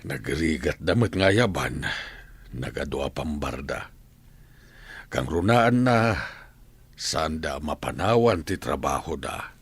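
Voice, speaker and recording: A husky, deep-voiced lonely old father. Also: animated, slow, pauses often, exaggerated voice. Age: older. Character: lonely widowed old father, gentle, longing. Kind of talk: radio drama acting.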